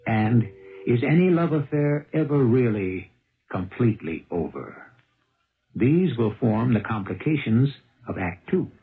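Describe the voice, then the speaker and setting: An American man acting as a grave, musing host, narrating a 1970s radio mystery with deliberate, rhetorical delivery. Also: mid-range voice, big pitch swings. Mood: musing, portentous. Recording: tinny old radio broadcast with music.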